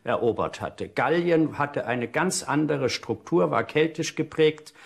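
A man's voice: a little high, expressive, measured pace, gravelly, husky. A man speaking unscripted as an expert, explaining in an interview.

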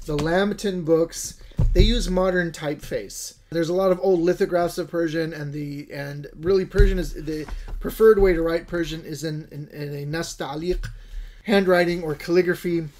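A man's voice: fairly high pitch, steady tone, moderate pace, a little mellow, slight background noise. A middle-aged man whose first language is Urdu, talking casually to camera in a book-lined home study and rambling a little, with plenty of fillers and restarts. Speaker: Islamic studies scholar giving a reading list. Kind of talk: casual recorded lesson to camera.

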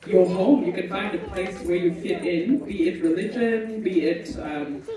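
A woman's voice: on the low side, a little slow, recorded from a distance, crowd noise. Earnest woman in an echoey crowded hall. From Zimbabwe. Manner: earnest, reflective, with frequent ums. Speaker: pharmacist speaking at a community event. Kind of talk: personal testimony to an audience. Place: large hall at a multicultural event.

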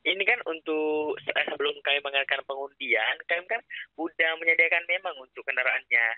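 A man making a persuasive pitch over the phone, speaking insistently with some hesitation. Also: fairly quick, no echo. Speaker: phone caller claiming to be a prize official. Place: on a phone call. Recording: narrow, dull phone line.

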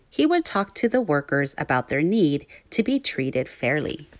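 A woman teacher reading aloud to young children, phrasing things clearly and putting questions to her listeners. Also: low voice, no room sound. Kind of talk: children's read-aloud narration.

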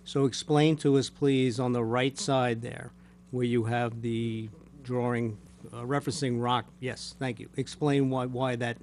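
An older man speaking as a board chair, courteous and measured as he puts questions and handles formal procedure at a public meeting. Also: medium pitch, flat delivery, a little mellow. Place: municipal meeting room. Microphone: gooseneck desk microphone. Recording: faint background hum.

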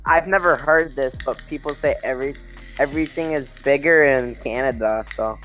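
A man's voice: medium pitch, normal speed, fairly bright tone, faint music behind, rough recording. A young man from Minnesota with a Minnesota accent, chatting casually over voice chat while gaming and often stumbling over his words. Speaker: gamer on voice chat.

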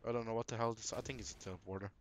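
A man's voice: lowish voice, fairly even intonation, racing, a little hiss or hum. A young man casually commenting on a shooter game as he plays, rambling, swearing and laughing at himself. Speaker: gameplay commentator.

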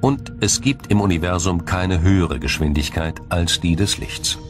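A man reading a scripted science documentary in a measured, formal, explanatory manner. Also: low voice, over music. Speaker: documentary narrator.

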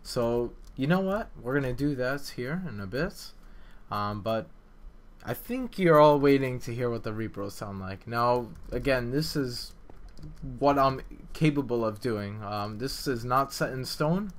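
Casual, halting man talking games. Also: mid-range voice, animated. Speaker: video game map developer and video host. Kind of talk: casual gameplay commentary, development vlog.

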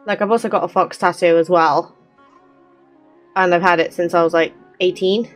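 Young woman, lowish pitch, candid rambling gamer chat, close mic, faint music behind. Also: fairly even intonation, moderate pace. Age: 20s. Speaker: streamer playing a game. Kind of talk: casual chat over gameplay, reading dialogue aloud. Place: small bedroom with gaming setup. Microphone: close desk microphone. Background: game music.

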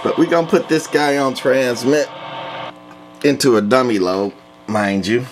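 A man's voice: medium pitch, unhurried, slight background noise, rough recording. A man giving a casual equipment walk-through in terse, matter-of-fact phrases, recorded indoors from behind a camcorder. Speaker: radio seller showing equipment.

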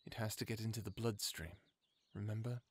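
A man voicing a tender, protective young man who speaks gently and reassuringly. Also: fairly low pitch, steady tone, brisk, husky, no echo. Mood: gentle. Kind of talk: full-cast audiobook acting.

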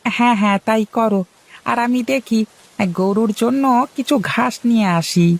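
A woman's voice: fairly high pitch, moderate pace, close to an everyday voice. A woman voicing a cheerful, eager young village husband and dutiful son in a cartoon, chatty and full of exclamations. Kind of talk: cartoon voice acting.

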